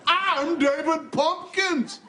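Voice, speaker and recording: A man's voice: very high-pitched, takes it slowly, a faint crowd, full character voice. A man playing a goofy, self-serious pumpkin-suited spook with exaggerated showman delivery in a comedy sketch. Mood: cocky, goofy. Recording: loud live studio audience.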